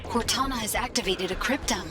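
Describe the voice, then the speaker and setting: A woman's voice: medium pitch, a little fast, lightly acted. A woman voicing a curious, politely eccentric machine caretaker in a video game, precise, chatty and whimsical. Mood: curious, helpful. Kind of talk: video game cutscene acting. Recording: distant, under loud music.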